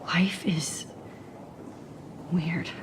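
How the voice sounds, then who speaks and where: A woman's voice: on the low side, steady tone, extremely slow, slight background noise. Shy, understated young woman student. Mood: hushed, musing. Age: young adult. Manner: hesitant, understated. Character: shy, self-doubting, wry young female photography student. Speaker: photography student character. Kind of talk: naturalistic video game dialogue.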